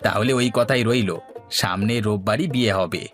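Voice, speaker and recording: Man acting a low-voiced village matchmaker friend. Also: moderate pace, fairly bright tone, faint music behind, close to an everyday voice. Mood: persuasive. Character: village neighbour friend, persuasive amateur matchmaker. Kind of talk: Bengali moral story cartoon dialogue.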